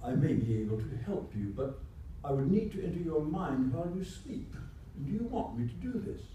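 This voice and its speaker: A man plays a weary, principled, kindly sorcerer in a radio play. The voice is very dark and swings widely in pitch, coming from off the mic in a roomy space. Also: sing-song, moderate pace. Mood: measured. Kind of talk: staged radio theatre dialogue. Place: indoor convention performance before microphones. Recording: echoey live room, distant mic, faint noise.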